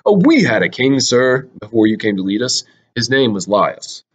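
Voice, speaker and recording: A man voicing a formal envoy with grave news in a Greek tragedy, measured and declamatory. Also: mid-range voice, big pitch swings, pitched below the performer's own voice.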